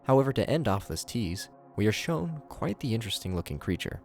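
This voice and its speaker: A man's voice: fairly low pitch, big pitch swings, a little fast, creaky, darkish tone, soft background music. A man giving scripted video commentary in a casual, opinionated, enthusiastic way.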